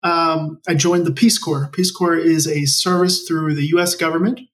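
An American man in his forties talks conversationally as an interview guest, telling his own story. His voice is on the higher side and sounds a little away from the microphone. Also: steady tone, moderate pace, a bit bright. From Maryland, United States. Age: 40s. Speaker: interview guest, nonprofit project leader. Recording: distant from the mic.

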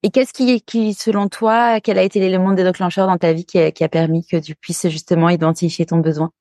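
A woman's voice: fairly low pitch, little pitch movement, fairly quick, warm tone, no echo. Host, woman, easygoing interviewer, dry close sound. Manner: friendly, informal questioning. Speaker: podcast host. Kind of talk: casual interview questions.

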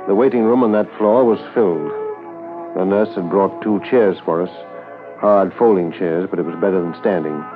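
A sober, sympathetic policeman voiced by a male actor tells his story in a lowish voice. He is measured and understated in 1950s radio drama style, on a thin old recording with music. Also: lightly acted. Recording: narrow-band 1950s radio transcription.

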